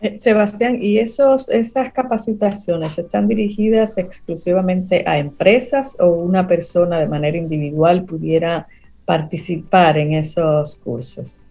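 Female interviewer, dark soft tone, gravelly creak, broadcast co-host. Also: on the low side, measured pace. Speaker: co-host asking questions.

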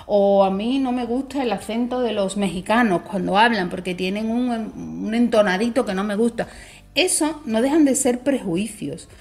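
A woman speaking as a language expert guest in a talk show, explaining in a measured way and often checking in with short tag questions. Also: a little high, darkish tone.

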